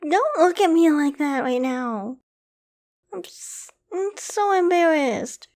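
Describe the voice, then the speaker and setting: A woman's voice: very high-pitched, expressive, slow, exaggerated voice. A woman playing a shy, loving, easily flustered young girlfriend in an intimate ASMR bedtime roleplay, speaking tenderly and hesitantly. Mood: flustered, embarrassed. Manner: tender, hesitant, soft-spoken with stammers.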